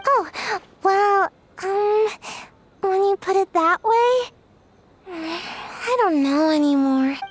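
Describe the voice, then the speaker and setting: A woman's voice: drawn-out words, full character voice. A woman voicing a small, lazy, nap-loving childlike dreamer in a video game, earnest and easily flustered. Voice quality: childlike, very high. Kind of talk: animated video game dialogue. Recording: background music.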